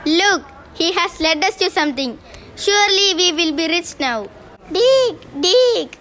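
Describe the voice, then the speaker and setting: A wicked, greedy neighbour from a school puppet show, acted by a young student. The voice is extremely high and childlike. The delivery is slow and jealous. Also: expressive, pushed and bright, exaggerated voice. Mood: scheming. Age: child or teenager. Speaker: student performer.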